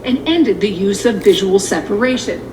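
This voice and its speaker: Woman tv reporter reading scripted news narration, mid pitch, pretty noisy clip. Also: animated, normal speed. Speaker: television news correspondent. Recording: TV clip with clear background noise.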